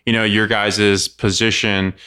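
Bright, clear-voiced laid-back podcast host. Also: male voice, fairly low pitch, flat delivery, measured pace. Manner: laid-back, many fillers, laughs easily. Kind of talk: casual interview chat.

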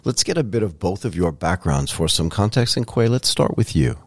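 Male podcast host, low voice, dry close sound, friendly, quick follow-up questions. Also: lively intonation, dry recording. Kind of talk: interview conversation.

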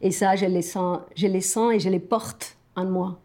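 Slow, mellow woman with an Argentine accent. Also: mid-range voice, fairly even intonation. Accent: Argentine Spanish. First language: Spanish. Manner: hesitant, searching for words. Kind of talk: personal reflective talk to a companion.

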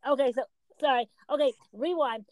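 A woman's voice: high-pitched, moderate pace, darkish tone, dry recording. A woman co-hosting a casual podcast, chatting loosely with lots of fillers and restarts. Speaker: podcast co-host. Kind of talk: casual chat.

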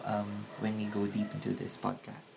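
A man in his early twenties with a Filipino accent, a podcast guest who talks in a relaxed, hesitant way full of ums. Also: fairly low pitch, moderate pace, vocal fry, poor audio. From Philippines. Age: 20s. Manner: relaxed, hesitant with many ums, switches into Tagalog. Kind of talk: casual podcast chat.

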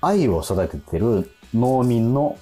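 A man, hosting radio with casual, animated storytelling. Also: mid-range voice, slow pace. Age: 40s. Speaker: radio show host. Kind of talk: casual radio chat with anecdotes. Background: music.

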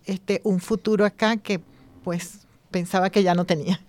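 A woman's voice: lowish voice, steady tone, normal speed, darkish tone, dry recording. A woman from Venezuela with a Venezuelan accent, a guest talking in an upbeat, hopeful way at a studio microphone.

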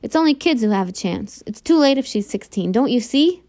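A woman's voice: a little high, animated, normal speed, a little mellow. Woman voicing a tearful, desperate mother. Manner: tearful, pleading, sputtering. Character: distraught mother, middle-aged, fiercely protective.

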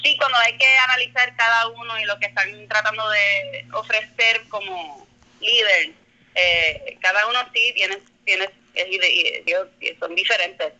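Puerto rican woman, 30s, super bright voice, rambles and fixes herself a lot, throws in english words. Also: mid-range voice, lo-fi sound. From Puerto Rico. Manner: rambling, self-correcting, drops in English words. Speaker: guest, comedian and artist. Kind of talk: casual remote conversation.

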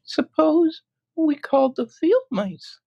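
A woman voicing an earnest, polite young girl in a bright, childlike voice. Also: high-pitched, expressive, takes it slowly, creaky, muffled recording, pitched above the performer's own voice, full character voice.

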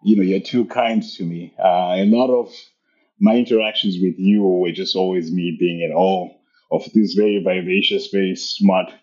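A man from Zimbabwe speaks as a guest on a video call, modest and hesitant, often pausing on fillers. His voice is very deep and mellow, heard through dull, lo-fi call audio. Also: fairly even intonation. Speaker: podcast guest. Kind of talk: interview conversation.

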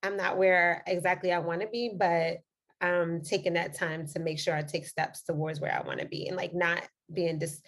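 A woman's voice: on the low side, fairly even intonation, moderate pace, fairly bright tone. A woman co-hosting a podcast, chatting enthusiastically and upbeat, and reading a guest introduction. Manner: enthusiastic, upbeat, laughs often. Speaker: podcast co-host. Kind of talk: casual chat and read introduction.

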